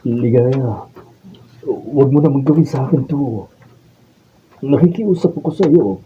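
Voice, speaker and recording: An actor playing an ardent, defiant lover in a melodramatic Filipino radio drama, earnest and pleading. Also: male voice, mid-range voice, lively intonation, measured pace, narrow, tinny audio, natural voice. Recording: faint background noise.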